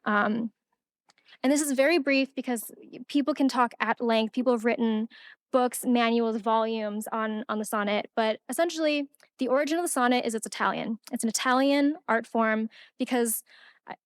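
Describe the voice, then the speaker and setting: A woman's voice: fairly high pitch, normal speed, dry recording, studio quality. A young woman poet reading her poem aloud and then giving a casual talk with many fillers at a college event.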